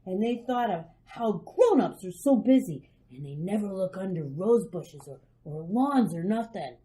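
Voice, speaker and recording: A woman playing a headstrong, impatient little girl in a radio drama, loud, definite and childishly eager. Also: mid-range voice, lively intonation, measured pace, lightly acted, rough recording. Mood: eager confiding. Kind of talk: old-time radio drama acting.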